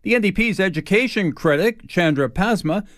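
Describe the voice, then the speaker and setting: Higher-pitched male radio newsreader. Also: expressive, moderate pace, fairly bright tone, dry recording, studio quality. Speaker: radio news anchor. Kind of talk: scripted radio news reading.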